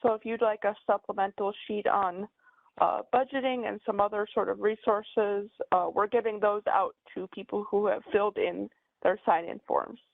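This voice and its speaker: A woman housing counselor opening a webinar with a measured, scripted welcome. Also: medium pitch, fairly even intonation, gravelly, no echo. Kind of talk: webinar presentation. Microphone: video call. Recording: tinny phone-like line.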